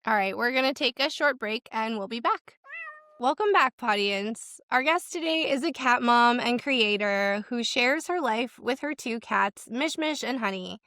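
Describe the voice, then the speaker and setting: A woman's voice: fairly high pitch, lively intonation, measured pace, sharp tone, no echo, studio quality. A woman hosting a casual podcast, chatty and enthusiastic, full of "like" fillers. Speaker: podcast host.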